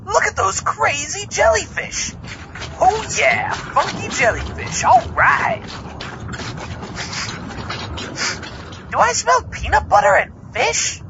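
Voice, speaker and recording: Comedy audio drama: goofy undead spaceman, extremely high voice, wildly swooping delivery. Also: huge pitch swings, a little slow, lo-fi sound, noisy background, exaggerated voice. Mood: excited wonder. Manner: exclamatory, whiny, scatterbrained. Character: excitable goofy undead zombie astronaut, childishly silly. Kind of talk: comic audio drama acting.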